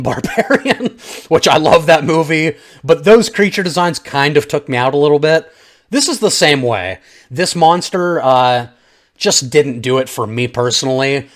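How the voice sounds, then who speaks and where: A man giving an off-the-cuff, opinionated video review, chatting informally and directly to his viewers. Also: medium pitch. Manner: conversational, opinionated, informal slang, addresses audience as guys. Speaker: film reviewer, channel host. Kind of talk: casual unscripted video review, talking to viewers.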